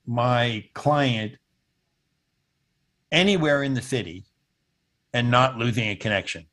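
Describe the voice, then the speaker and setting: Older man explaining, halting delivery. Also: mid-range voice, a bit bright. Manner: halting, pausing mid-sentence while explaining. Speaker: presenter demonstrating intercom software. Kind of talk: explanatory technical demo on a video call. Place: indoor room at home, on a video call.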